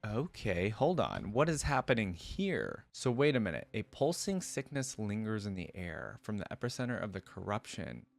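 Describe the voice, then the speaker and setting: A man reading a story aloud and breaking into casual, expressive, slangy commentary as a reaction host. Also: fairly low pitch, normal speed, gravelly, few pauses.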